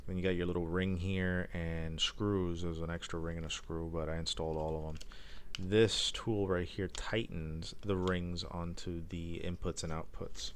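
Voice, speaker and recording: A man's voice: low-pitched, level tone, moderate pace, slight background noise. A man hosting a live stream in a casual, unscripted way, rambling loosely as he shows gear. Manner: rambling, loose, informal phrasing. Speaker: live stream host reviewing music gear. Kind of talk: casual unscripted unboxing demo talk.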